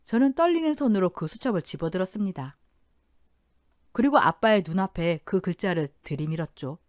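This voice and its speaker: A woman's voice: fairly low pitch, animated, fairly quick, no room sound, studio quality. A woman in her thirties narrating a dramatic first-person family story in an intense, emotional telling.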